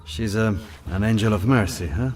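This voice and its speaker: A man playing a bashful, amiable Italian partisan fighter in a war game, talking haltingly and feeling for his words. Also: on the low side, a little mellow, lightly acted. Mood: nervous, amused. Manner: hesitant, halting, slow. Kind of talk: dramatic game cutscene acting.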